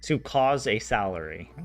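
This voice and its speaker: A man streaming a language study session, chatting casually, rambling with frequent laughs and restarts. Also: lowish voice. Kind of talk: casual live-stream chat and translation.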